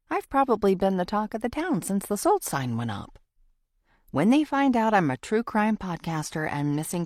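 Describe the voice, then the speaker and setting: A woman's voice: lowish voice, a little mellow, no room sound, studio quality. A woman narrating a first-person thriller audiobook from a script.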